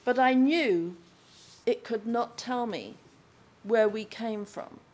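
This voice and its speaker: An older woman from England reading a passage of her own book aloud at a lectern in a lecture room, in a deliberate, phrase-by-phrase delivery. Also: a little high, darkish tone. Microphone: lectern microphone.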